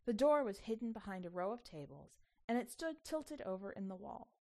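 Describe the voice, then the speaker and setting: A woman reading scripted fiction as an expressive podcast storyteller. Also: medium pitch, fairly quick. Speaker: podcast editor and story reader.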